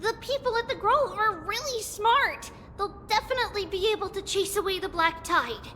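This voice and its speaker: Very high-pitched, sweet little girl. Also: expressive, normal speed, sharp tone, in a large hall, full character voice. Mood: hopeful. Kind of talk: scripted video game voice acting. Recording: music under the voice.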